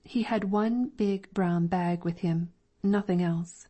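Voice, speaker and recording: A woman's voice: lowish voice, takes it slowly, warm tone. A woman voicing a deferential Victorian landlady in an audiobook, answering politely. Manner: polite and deferential, calling him sir. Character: anxious Victorian London landlady, middle-aged. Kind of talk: audiobook dramatic reading.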